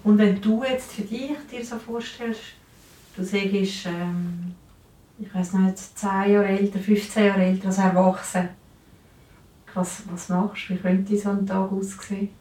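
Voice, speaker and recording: A woman's voice: mid-range voice, flat delivery, measured pace, mellow, reverberant, rough recording. A woman with a Swiss German accent asking questions as an interviewer for a radio documentary.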